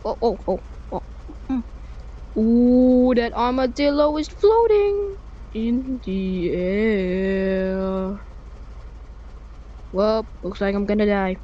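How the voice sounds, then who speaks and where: A woman giving excitable live gameplay commentary, with frequent short repeated exclamations. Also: a little high, slow, long pauses, dull audio. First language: Thai. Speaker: gamer playing a storm-chasing game.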